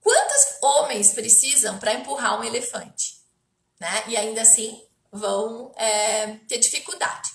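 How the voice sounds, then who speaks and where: A Brazilian woman speaking as a therapist on a livestream, explaining spiritual self-help ideas in a halting way, with rhetorical questions and check-in tags. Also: on the high side, expressive, creaky, echoey room. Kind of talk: spiritual self-help talk to live viewers.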